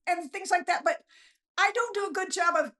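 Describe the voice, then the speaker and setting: A woman's voice: extremely high pitch, normal speed, sharp tone. A woman chatting casually as an interview guest, rambling through stories in a folksy, self-deprecating way. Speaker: author being interviewed, guest. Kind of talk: casual, rambling storytelling chat.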